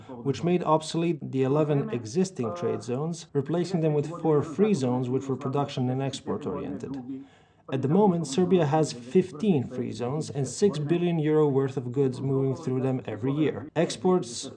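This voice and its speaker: A man's voice: medium pitch, natural voice. A man dubbing a veteran business executive. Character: dubbed voice of a veteran male free zone executive. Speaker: interview guest, dubbed into English. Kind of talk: read dub of an interview answer.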